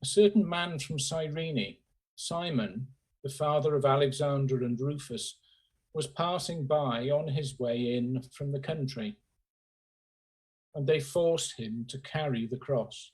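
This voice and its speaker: A man's voice: medium pitch, measured pace, a little mellow, slightly rough, rough recording. A man reading a scripture narrative aloud as part of a church service. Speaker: scripture reader.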